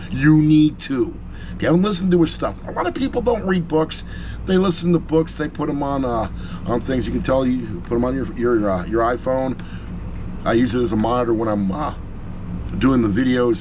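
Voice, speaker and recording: Clear background noise sits under a middle-aged man's medium-pitched voice. He talks in a casual, opinionated reviewer's style, emphatic and informal, repeating words for effect and dropping in mild swearing. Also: a little fast. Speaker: audiobook reviewer.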